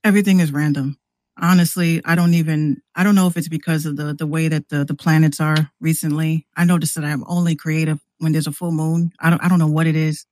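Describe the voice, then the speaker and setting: A woman's voice: low-pitched, little pitch movement, a little fast, darkish tone, dry recording. A woman chatting casually as a podcast guest, telling stories with quoted asides. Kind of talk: casual chat.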